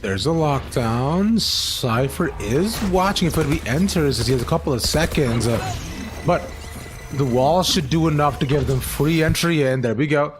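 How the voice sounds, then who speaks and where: A man's voice: a little high, animated, normal speed, a little hiss or hum. A man doing live esports commentary on a video game, with casual banter.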